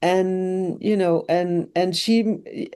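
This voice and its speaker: Interview guest: French-born woman, sixties, French first language, mellow voice, halting with fillers and restarts. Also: fairly low pitch, fairly even intonation, smooth. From France. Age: 60s. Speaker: documentary filmmaker guest. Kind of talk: casual interview conversation.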